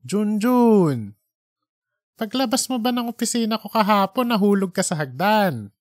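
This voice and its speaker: A man's voice: high voice, sing-song, measured pace, no room sound, studio quality, pitched above the performer's own voice. A man voicing an exasperated newspaper editor who questions sharply while holding back his irritation, in an audiobook story. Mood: exasperated, stern. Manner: restrained irritation, pointed questioning.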